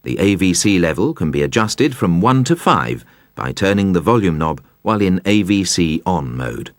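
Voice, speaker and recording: A man with a very deep voice narrates a scripted instructional video. His pitch rises and falls in a lively way. He sounds measured and precise, and the recording is dry and close. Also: no room sound. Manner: measured, precise, explanatory delivery.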